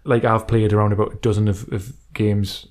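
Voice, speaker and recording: A man's voice: fairly low pitch, little pitch movement, brisk, darkish tone. A man co-hosting a podcast, chatting informally and reading out listener messages. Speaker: podcast co-host. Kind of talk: casual chat about retro racing games, reading out listener emails.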